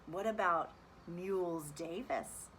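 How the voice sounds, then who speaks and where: A woman speaking as a music teacher, reading a children's story and explaining it in an animated, deliberate, instructive way. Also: on the low side, low-quality recording. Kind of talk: expressive children's read-aloud and lesson.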